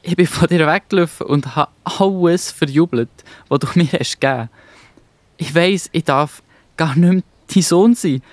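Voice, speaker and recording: A man's voice: a little high, normal speed, no echo, natural voice. A man playing a humble, remorseful young runaway son, pleading, in a Swiss German children's Bible radio play. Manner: despairing, pleading.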